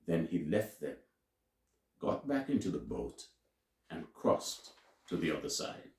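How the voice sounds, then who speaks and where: A man reading scripture aloud as a teacher, in a solemn, deliberate and emphatic way with dramatic pauses. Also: a bass voice, big pitch swings. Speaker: Bible reader and teacher. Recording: roomy, echoing sound.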